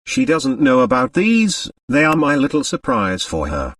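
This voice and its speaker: A man's voice: mid-range voice, wildly swooping pitch, moderate pace, a bit bright, dry recording, clean studio sound, far from the mic. A man voicing a hard, proud American millionaire who dotes on his daughter, in an audiobook. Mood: wistful.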